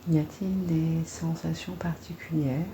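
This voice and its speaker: A woman guiding a body-scan meditation in a gentle, soothing, unhurried manner, leaving long pauses between phrases. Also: sits low, fairly even intonation, creaky, slight background noise, low-quality recording.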